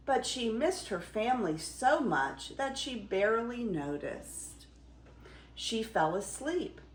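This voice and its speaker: An older woman reading a fairy tale aloud as a grandmother would, with theatrical, expressive emphasis. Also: medium pitch, echoey room. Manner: theatrical, dramatic storytelling with emphasis.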